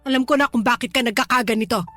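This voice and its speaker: A woman plays a hostile, old-fashioned mother in a radio drama. Her voice is very high and piercingly bright, full of scornful, indignant accusation, with faint music behind. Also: very fast, dry recording, natural voice. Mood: suspicious, scornful. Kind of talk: Tagalog radio drama acting.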